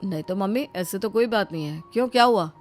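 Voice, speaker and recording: A woman's voice: medium pitch, lively intonation, fast talker, faint music behind, natural voice. A woman acting a sulky young married son. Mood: casual. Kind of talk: dramatized Hindi family storybook.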